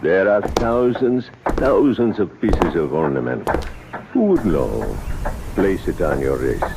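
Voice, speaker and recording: A man playing a grieving, vengeful keeper of temple gods in a 1970s radio drama, speaking softly and measured until he turns menacing. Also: low voice, big pitch swings, creaky, heavy background noise, heavily acted. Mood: coaxing. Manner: soft, measured, then menacing. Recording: old radio drama with music behind.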